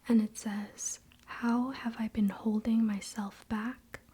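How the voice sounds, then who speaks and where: A woman whispering breathily in an unhurried, confiding ASMR style, speaking directly to the viewer. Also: a little high, flat delivery, warm tone, breathy edge. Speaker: ASMR video creator.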